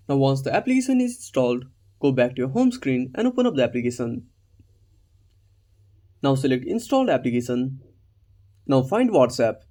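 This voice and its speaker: A man's voice: mid-range voice, dark tone. A man narrating a scripted step-by-step tutorial as its host.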